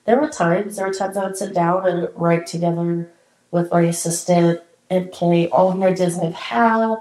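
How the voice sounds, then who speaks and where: A woman's voice: fairly low pitch, steady tone, moderate pace, darkish tone, reverberant, rough recording. A young adult woman hosting her own podcast, talking alone in a candid, encouraging way with some casual swearing, her nose a little congested. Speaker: podcast host. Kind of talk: solo reflective podcast talk.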